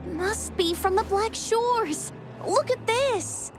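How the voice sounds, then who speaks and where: A woman playing a curious, excitable young apprentice investigator in a video game, with expressive delivery over music. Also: very high-pitched, slow. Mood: excited, curious. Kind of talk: scripted video game voice acting.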